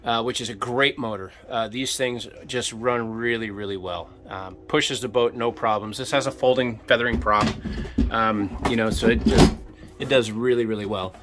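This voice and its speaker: A man's voice: medium pitch, normal speed, very noisy. Easygoing middle-aged man touring a boat. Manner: relaxed, enthusiastic, filler-heavy. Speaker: boat tour host. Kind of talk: casual walkthrough tour. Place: small boat cabin, indoors. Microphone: handheld camera mic at arm's length.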